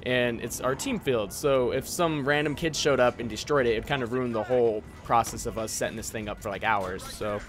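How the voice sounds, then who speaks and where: A man's voice: mid-range voice, fairly quick, few pauses, faint music behind. A twenty-year-old American man answering viewer questions in a casual, laid-back way, informal and often trailing off. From United States. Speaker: gaming and airsoft YouTuber.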